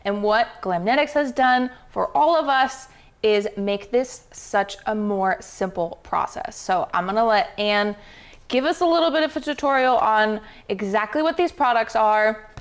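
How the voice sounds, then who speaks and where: Bubbly woman livestream host. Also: fairly high pitch. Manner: bubbly, addresses viewers as "you guys". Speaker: livestream host, hairdresser and makeup artist. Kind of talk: upbeat livestream hosting.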